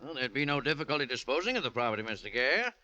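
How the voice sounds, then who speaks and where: An actor playing an eager, obliging real estate agent in a 1940s radio drama, fussy and deferential. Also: male voice, medium pitch, very animated, rapid-fire, pushed and bright, dry recording, muffled recording.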